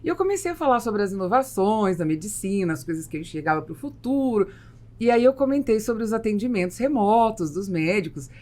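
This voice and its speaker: A woman with a Brazilian accent hosts a conversational business podcast. Her voice sits at a medium pitch, and she tells things like an animated storyteller. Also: a little fast. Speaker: podcast host.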